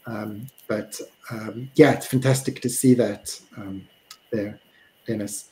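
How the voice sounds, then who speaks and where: A middle-aged man with a South African accent, moderating an online panel on a video call from a home room, measured and affirming. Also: on the low side, fairly even intonation, mellow, slight background noise, poor audio. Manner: measured, hums along while others talk. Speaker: host. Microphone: video call with earphone mic.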